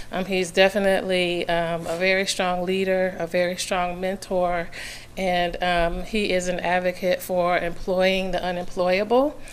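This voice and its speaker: A hoarse woman speaks at a podium microphone in a county meeting room. Her voice sits fairly low and stays flat. She talks earnestly, with frequent pauses and "um" fillers. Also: a little hiss or hum. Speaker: public commenter. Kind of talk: public comment at a county meeting.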